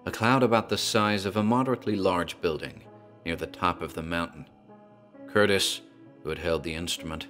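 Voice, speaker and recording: Deep-voiced man narrating horror. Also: a bit bright. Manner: grave, measured storytelling. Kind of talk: dramatic horror story narration.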